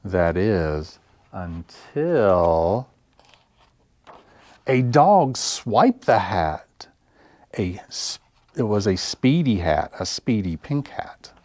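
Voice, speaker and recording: Man, low voice, unhurried pace, children's read-aloud, deliberate phrasing, story time host, music behind. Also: big pitch swings, darkish tone, no room sound.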